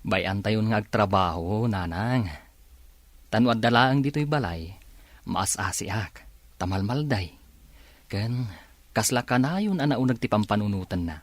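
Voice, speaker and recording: A man's voice: on the low side, lively intonation, unhurried, long pauses, no room sound. A man with an Ilocano accent acting a devoted, patient young fiance and son in a radio drama. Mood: patient, defensive.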